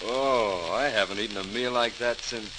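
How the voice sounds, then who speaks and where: An actor playing a cheerful, earnest young Navy lieutenant in a 1940s radio drama. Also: male voice, fairly low pitch, moderate pace, close to an everyday voice. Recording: hissy, crackling 1940s radio recording.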